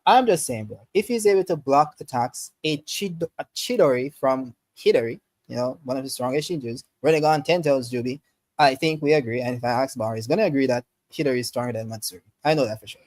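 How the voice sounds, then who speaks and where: A man's voice: medium pitch, quick pace, darkish tone, dry recording. A man debating anime casually as a podcast panelist, insistent and full of slang. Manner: slangy, insistent, repeatedly addressing others as bro.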